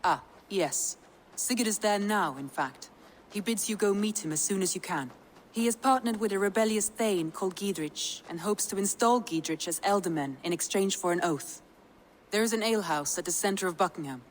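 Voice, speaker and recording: A woman's voice: medium pitch, normal speed, lightly acted, rough recording. Woman playing a composed Viking clan adviser. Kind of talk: dramatic video game dialogue.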